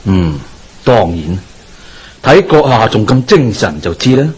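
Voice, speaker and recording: A man with a Hong Kong Cantonese accent playing a calm, authoritative Taoist exorcist master in a radio drama, speaking in a measured, knowing, formal way. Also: fairly low pitch, breathy edge, heavily acted.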